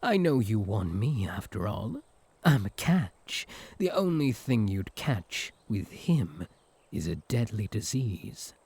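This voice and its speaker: A man's voice: on the low side, big pitch swings, slow pace, a little mellow, slightly rough, no room sound, full character voice. A British man voicing a smug, possessive incubus suitor in a comedic ASMR roleplay, sarcastic and flirtatious. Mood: smug, taunting.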